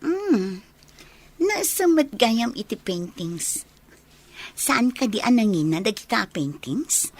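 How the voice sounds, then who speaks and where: An actress playing an impressed, covetous young woman visitor in an Ilocano radio comedy drama, often slipping into breathy asides. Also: a little high, sing-song, takes it slowly, exaggerated voice. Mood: sweet, agreeable.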